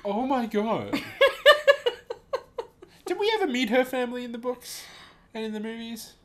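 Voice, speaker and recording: A man's voice: extremely high pitch, lively intonation, moderate pace. A man casually reading aloud and commenting as host, amused and giggly, swearing now and then.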